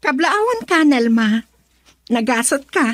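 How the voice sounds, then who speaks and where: Wistful, tender young wife for radio drama: slightly high, husky, close dry recording. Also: female voice, very animated, unhurried, no room sound. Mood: wounded. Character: loving, wistful young wife, hurt by her father. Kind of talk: Ilocano radio drama acting.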